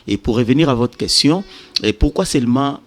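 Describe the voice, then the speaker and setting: A man's voice: medium pitch, expressive, a little fast, a little hiss or hum. A man with a Congolese French accent explaining as a guest organiser in a television interview. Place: television studio set.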